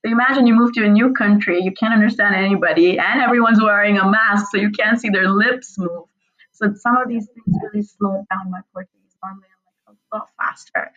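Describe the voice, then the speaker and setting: A woman's voice: medium pitch, fairly quick, fairly bright tone, dull audio. A woman in her thirties whose first language is Urdu, a guest author speaking reflectively in a conversational interview. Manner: reflective, speaks in long flowing sentences.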